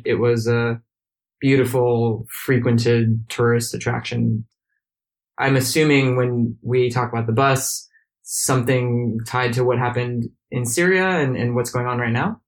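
Podcast-Moderator, ruhig und freundlich. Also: male voice, mid-range voice, rough recording. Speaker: podcast host. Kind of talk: podcast interview. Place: hotel room.

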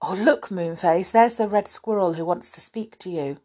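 A woman reading aloud voices a concerned young boy, raising her pitch and speeding up. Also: moderate pace, warm tone, husky. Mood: alarmed.

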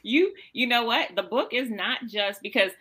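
A woman hosting a podcast in a casual, conversational way, expressive and emphatic as she talks things over with a guest. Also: on the high side, moderate pace, slightly rough. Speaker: podcast host.

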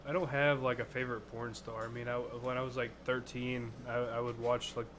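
A man's voice: mid-range voice, level tone, fairly quick. A young man speaking hesitantly, stammering and restarting his sentences. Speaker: podcast co-host. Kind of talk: casual chat. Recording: noisy podcast recording.

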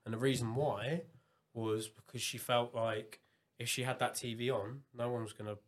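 A man from Kent, England, chatting casually as a podcast guest, animated and full of fillers. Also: mid-range voice. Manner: animated, quick bursts of excitement, many fillers.

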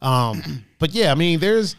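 A man hosting a casual chat show with a raspy voice, talking in a laid-back, profane, joking way and reading out chat comments. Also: on the high side, sing-song, a little slow, no room sound, studio quality. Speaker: podcast host.

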